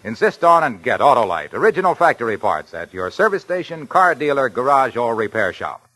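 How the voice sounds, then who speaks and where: Lively American old-time radio announcer man. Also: mid-range voice, fairly quick, rough recording. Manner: polished, emphatic. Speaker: announcer and sponsor pitchman. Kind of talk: 1940s radio announcing, scripted. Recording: vintage 1940s radio broadcast.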